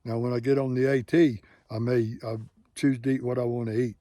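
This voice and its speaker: A plainspoken man in his late sixties talks straight to his viewers in a folksy, rambling manner. His voice sits at an ordinary middle pitch. The sound is close and dry, with no room around it. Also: little pitch movement, brisk, mellow, no room sound. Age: 60s. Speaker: hiking vlogger. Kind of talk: candid casual talk to camera. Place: living in Colorado.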